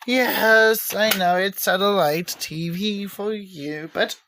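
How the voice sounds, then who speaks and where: Slow-paced woman showing items on camera. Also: mid-range voice, fairly bright tone, slight background noise. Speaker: video maker showing cassette tapes. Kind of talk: casual unscripted show-and-tell narration.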